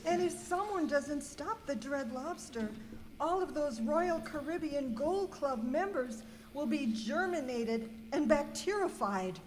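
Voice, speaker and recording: Woman playing a high-voiced prim saleswoman. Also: expressive, measured pace. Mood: coy. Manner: prim, formal. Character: prim, demure female saleswoman with secret superhero identity. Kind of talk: amateur live radio skit. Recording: echoey hall with noise and clicks.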